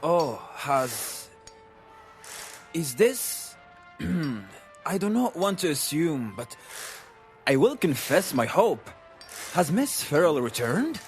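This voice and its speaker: An eccentric, courteous inventor in an audio drama. His fairly high voice rises and falls widely, with formal, hesitant, fussy phrasing. Soft music plays underneath. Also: big pitch swings, takes it slowly, pauses often. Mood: hesitant, wistful.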